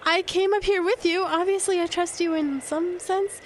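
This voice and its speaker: An actress playing a frightened, doubting, defiant fiancée in an audio drama, with emphatic phrasing. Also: female voice, very high-pitched, steady tone, normal speed, a little hiss or hum. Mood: defensive. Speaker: actor. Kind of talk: audio drama acting with inner-monologue narration.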